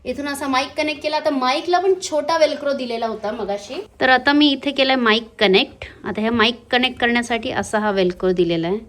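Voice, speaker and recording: A woman speaks in a somewhat high-pitched voice. She presents a hands-on product demonstration in an informal vlog style. Her explanation is a little hesitant and sprinkled with English words. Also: a little fast, few pauses, a bit bright. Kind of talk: casual unboxing and installation demonstration.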